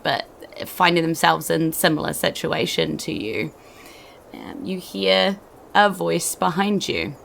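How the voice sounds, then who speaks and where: A woman with a New Zealand accent and a creaky voice, narrating a tabletop roleplaying game as game master. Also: on the low side, fairly bright tone, a little hiss or hum. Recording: music under the voice.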